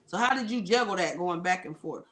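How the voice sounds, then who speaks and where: A man's voice: high voice, animated, fairly quick, a bit bright. A man hosting a casual live podcast, laid-back and slangy, with an Ohio accent. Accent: American English, Ohio. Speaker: podcast host.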